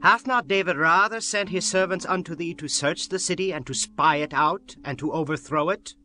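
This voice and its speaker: An actor playing a suspicious, scheming prince in a scripture drama, accusing and insistent. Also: male voice, fairly high pitch, normal speed, sharp tone, soft background music. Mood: suspicious, indignant. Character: suspicious, scheming Ammonite prince advising his lord.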